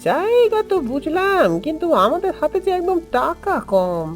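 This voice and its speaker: A woman voicing a worried, weary village father in an animated cartoon story, with an expressive, fretful delivery. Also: extremely high pitch, normal speed, soft background music.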